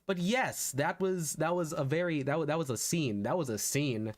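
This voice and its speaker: A man chatting casually on a livestream, halting and self-conscious, often repeating his words. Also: fairly high pitch. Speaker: VTuber streamer practicing voice acting. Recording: background music under the voice.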